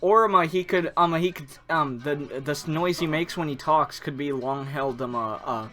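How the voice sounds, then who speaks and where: A young man co-hosting a podcast, excitable and stumbling over his words. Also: fairly high pitch, normal speed, faint music behind. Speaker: podcast co-host. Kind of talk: casual chat.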